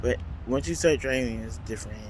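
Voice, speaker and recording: A man chatting casually in a rambling vlog, talking loudly into a handheld phone outdoors at a transit stop. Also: medium pitch, a little fast. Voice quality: loud voice. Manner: rambling, chatty, full of fillers. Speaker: vlogger. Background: faint city traffic noise.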